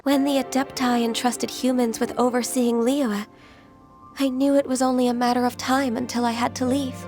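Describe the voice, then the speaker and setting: A woman voicing a gentle, wistful, half-immortal secretary in a video game, speaking politely and formally. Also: fairly high pitch, level tone, normal speed, music in the background, lightly acted. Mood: resigned sadness.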